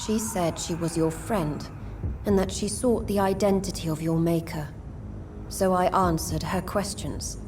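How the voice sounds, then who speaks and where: A woman playing an ancient, wise and guarded female monster of the sewers in a video game, speaking in measured, formal phrases. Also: on the low side, steady tone, slight background noise, natural voice. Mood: cryptic, measured. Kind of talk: video game cutscene acting. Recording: loud music behind the voice.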